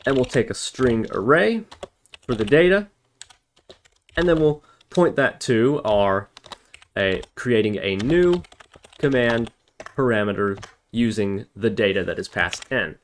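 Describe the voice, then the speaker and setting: A man's voice: mid-range voice, lively intonation, a little slow. A man giving an informal coding tutorial, thinking aloud as he works through each step. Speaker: programming tutorial instructor. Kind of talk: casual screencast coding tutorial, talking through steps while typing. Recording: background hiss, keyboard clicks and typing. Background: computer keyboard typing and mouse clicks.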